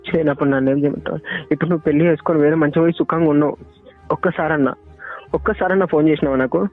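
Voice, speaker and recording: A man's voice: fairly high pitch, fairly even intonation, racing. A young man with a Telangana accent in an emotional private phone argument, sounding hurt and reproachful and repeating his phrases insistently. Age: young adult. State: hurt, upset. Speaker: caller's former boyfriend. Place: phone call. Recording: tinny phone call recording with music. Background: music.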